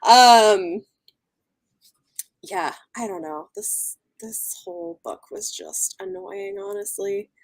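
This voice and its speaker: A woman chatting casually about a book, with a halting, exasperated and dismissive way of talking. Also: mid-range voice, very animated, gravelly. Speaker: book readalong live chat co-host. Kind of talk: casual chat, book discussion.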